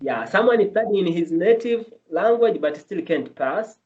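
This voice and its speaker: Man, second-language English speaker, slightly high voice, earnest, repeats himself, dull lo-fi sound. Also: expressive, unhurried, a little mellow. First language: not English. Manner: earnest, repeats and restarts phrases. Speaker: medical student guest. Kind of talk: casual interview answers. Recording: dull lo-fi audio.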